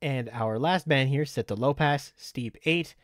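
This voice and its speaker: Man, methodical software tutorial narration, instructional delivery, dry close-mic sound. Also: mid-range voice, unhurried, no echo. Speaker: tutorial presenter.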